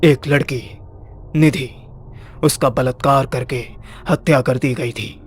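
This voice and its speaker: A man playing a solemn hospital dean in a cartoon horror story, speaking in a measured way. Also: mid-range voice, steady tone, husky. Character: solemn male hospital dean revealing dark history.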